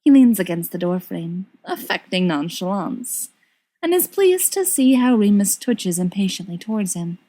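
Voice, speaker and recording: A woman reading scripted fiction aloud as an expressive narrator. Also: medium pitch, moderate pace, rough recording. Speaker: podfic reader.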